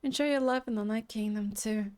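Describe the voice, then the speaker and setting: A woman's voice: fairly high pitch, fairly quick, a little mellow, natural voice. A woman playing a friendly young female traveler in an anime game.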